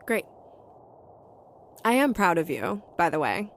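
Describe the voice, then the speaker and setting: A woman's voice: lowish voice, very animated, takes it slowly, a little hiss or hum. An actress playing a tough, sardonic young woman who talks bluntly and narrates her own story in an audio drama. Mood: wry, fond. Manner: wry, blunt, foul-mouthed. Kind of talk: audio drama dialogue and first-person narration. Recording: music bed under the voice.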